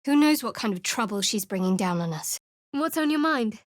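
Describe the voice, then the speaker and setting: A secretive young cleric from a fantasy game, played by a woman, who talks in a dry, wry tone and keeps people at arm's length. Her voice sits a little high. Each line is clean and close, with no room sound, as from a studio booth. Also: expressive, normal speed, no echo, lightly acted. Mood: wry, guarded. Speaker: game character voice. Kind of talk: video game voice acting.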